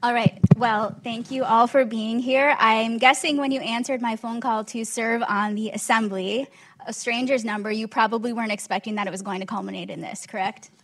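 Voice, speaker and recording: A woman's voice: fairly high pitch, steady tone, normal speed. A woman moderating a panel discussion on a conference stage, friendly and joking. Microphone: handheld mic.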